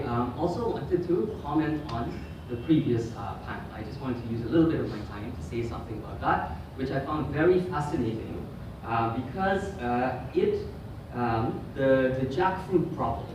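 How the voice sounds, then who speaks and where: A man's voice: medium pitch, noisy background. Middle-aged guy from guyana lecturing, lots of ums, echoey hall, mic kinda far off. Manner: halting, many ums and restarts. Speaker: guest lecturer. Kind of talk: conference lecture. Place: hotel conference hall.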